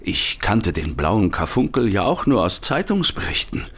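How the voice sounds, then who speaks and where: An actor playing a panicky, guilt-ridden hotel manager turned thief in an audio drama, agitated, halting and pleading. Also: male voice, lowish voice, very animated, a bit bright, full character voice. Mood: confessing, shaken. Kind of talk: German audio drama acting.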